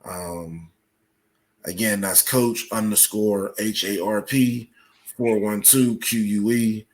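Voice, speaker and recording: A man speaking as a podcast guest, explaining at length in a halting, deliberate way with many filled pauses. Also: fairly low pitch, steady tone. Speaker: podcast guest, soccer coach. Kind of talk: conversational interview, explaining at length.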